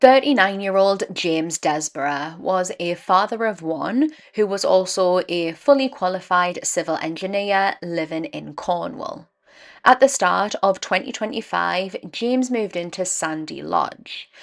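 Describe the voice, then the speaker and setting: A young woman narrates a true crime case in an earnest, measured way, stressing her words. Her voice is croaky and dry from a cold, with a gravelly edge. It sits on the low side for a woman. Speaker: true crime podcast host.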